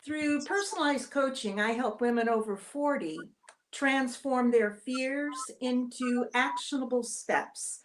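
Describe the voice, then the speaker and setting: A woman from Cleveland who works as a life coach, introducing herself as an author guest in a poised, measured way on a video call. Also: high voice, slight background noise, rough recording. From Cleveland, Ohio.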